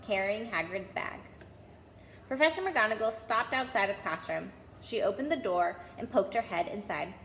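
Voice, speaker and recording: A woman's voice: mid-range voice, fairly bright tone. Female storyteller reading children's fiction, mid-range voice, reverberant hall sound. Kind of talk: reading a children's novel aloud. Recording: echoey hall, faint background noise.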